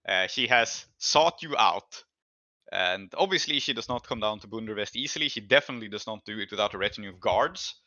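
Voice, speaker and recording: A man with a bright, forward voice narrates a tabletop game as game master, improvising scenes as he goes. The sound is dry and close, a little dulled in the recording. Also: lowish voice, expressive, fairly quick, no room sound, dull audio.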